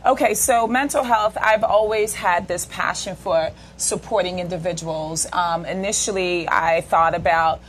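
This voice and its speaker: A woman's voice: on the low side, steady tone, unhurried, few pauses, slight background noise, rough recording. A woman in her forties, a guest therapist, explaining things in an interview and checking in with "right?" and "okay?". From United States. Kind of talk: interview talk.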